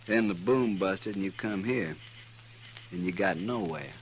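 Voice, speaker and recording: Menacing male saddle tramp, low voice, needling, faint vintage hiss. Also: measured pace. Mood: needling, sly. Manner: needling, insinuating. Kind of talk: 1950s radio western acting. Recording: noisy 1950s radio drama recording.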